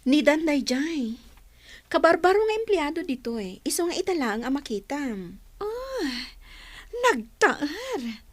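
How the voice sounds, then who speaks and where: An actress playing a vain, possessive young office worker in a radio drama, with a gossipy, haughty manner. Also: female voice, high-pitched, big pitch swings, a little slow, no room sound. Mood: gossipy, smitten.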